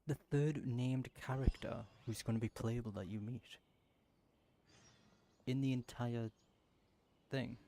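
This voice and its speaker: A man's voice: mid-range voice, steady tone, slow, pauses often, dark tone. A man giving casual let's-play commentary, rambling and thinking aloud as he plays. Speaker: gaming streamer.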